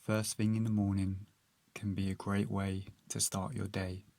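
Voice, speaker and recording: A man with a low, very even voice leads a guided meditation in a calm, gentle manner. He speaks very slowly, leaving long pauses between measured phrases. The sound is close and dry. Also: creaky, dry recording.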